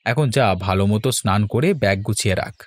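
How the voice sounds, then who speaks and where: A man voicing an indulgent village father in a cartoon, coaxing and gently teasing. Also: on the low side, fairly quick, dry recording, natural voice. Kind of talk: Bengali cartoon story dialogue. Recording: music behind the voice.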